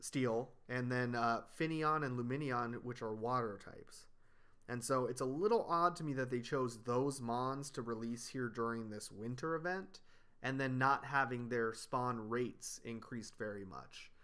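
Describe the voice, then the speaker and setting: A man hosting a casual podcast chat, explaining things in a steady way and listing them off one by one. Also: mid-range voice, unhurried, smooth. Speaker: podcast host.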